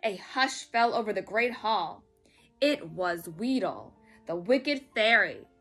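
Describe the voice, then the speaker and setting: A woman storyteller reading a children's story aloud in a dramatic, expressive way. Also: medium pitch, slow pace, slightly rough, faint music behind.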